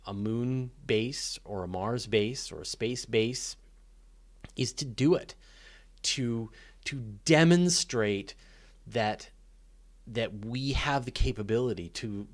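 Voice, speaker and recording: A man hosting a live question and answer session, talking off the cuff in an informal, opinionated, emphatic way and thinking aloud. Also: medium pitch. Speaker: host of a live space and astronomy Q&A. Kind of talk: casual off-the-cuff Q&A commentary.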